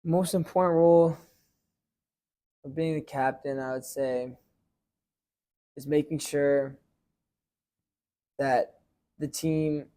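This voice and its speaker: A teenage boy and high school goalie answering interview questions casually, rambling with many fillers and restarts. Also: fairly even intonation, slow, long pauses, dark tone. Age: teens.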